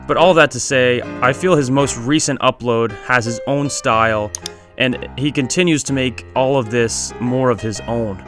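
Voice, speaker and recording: Man, medium-pitched voice, video essay host, scripted narration, guitar music behind. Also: sharp tone.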